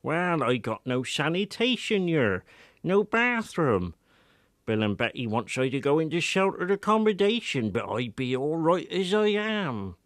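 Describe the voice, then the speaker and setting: A man's voice: fairly high pitch, big pitch swings, unhurried, a bit bright, dry recording, studio quality, pitched above the performer's own voice. A man voicing an obstinate, very old male villager who boasts and reminisces in rustic Wiltshire dialect for an audio drama. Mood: stubborn. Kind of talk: dramatised audiobook character acting.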